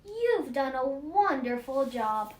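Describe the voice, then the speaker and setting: A woman's voice: sing-song, slow pace, darkish tone, exaggerated voice. A woman voicing a friendly, gracious neighbor in a storybook read-aloud. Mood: grateful. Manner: welcoming, gracious. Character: friendly, grateful woman neighbor who owns a cat. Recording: roomy echo, faint rumbling noise.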